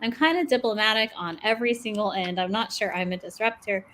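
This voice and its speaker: A woman's voice: medium pitch, fast talker, fairly bright tone, a little hiss or hum. Woman, 40s, chatty, mid-range voice, video call panel. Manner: chatty, laughs between thoughts. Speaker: panelist, podcast host. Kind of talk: casual panel chat.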